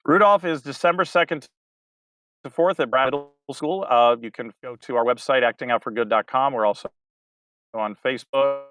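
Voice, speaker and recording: A man's voice: medium pitch, moderate pace, a bit bright, no room sound. A man, a community theater director, chatting casually as an interview guest, rambling a bit and laughing easily.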